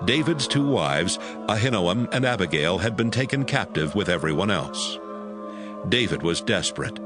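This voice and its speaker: A man's voice: low-pitched, lively intonation, normal speed, over music. A man narrating a dramatized audio Bible as scripted storytelling.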